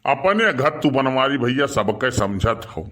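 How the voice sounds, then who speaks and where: Man playing a slow-drawling, sly village sidekick. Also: mid-range voice, lively intonation, a bit bright. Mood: needling. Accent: eastern Uttar Pradesh. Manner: needling, drawling, rustic. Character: teasing, sly village sidekick who eggs his friend on. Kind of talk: Awadhi cartoon comedy dialogue.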